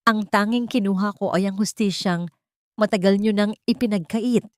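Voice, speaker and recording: Woman playing a calm, defiant Filipina housemaid. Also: mid-range voice, moderate pace, no echo, studio quality, close to an everyday voice. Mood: calm defiance. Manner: firm, defiant. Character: calm, resolute wronged housemaid, a grieving mother.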